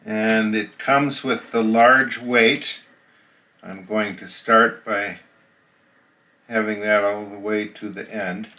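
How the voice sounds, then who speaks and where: A man's voice: lowish voice, fairly even intonation, slow, sharp tone. A man casually narrating an unboxing of a radio key, explaining each part plainly as he shows it.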